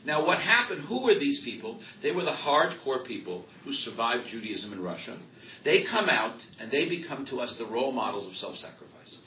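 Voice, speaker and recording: A middle-aged man, a rabbi and author, speaking emphatically and loudly in a live interview, telling stories. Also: mid-range voice, sing-song, normal speed, a little hiss or hum. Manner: emphatic, loud, storytelling. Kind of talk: live panel interview before an audience. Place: event hall with audience. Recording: roomy hall, distant mic, dull lo-fi sound.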